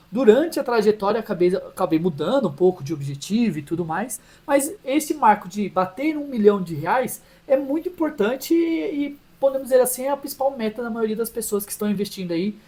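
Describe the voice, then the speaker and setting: A man's voice: sits high, a little mellow. Chatty São finance host, man. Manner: chatty, with frequent "tá?" check-ins and self-restarts. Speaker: personal finance channel host. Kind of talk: casual explainer over a shared screen.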